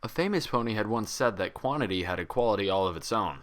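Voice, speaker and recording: Male narrator, lowish voice, very lively intonation, scripted story reading. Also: quick pace. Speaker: fan fiction narrator.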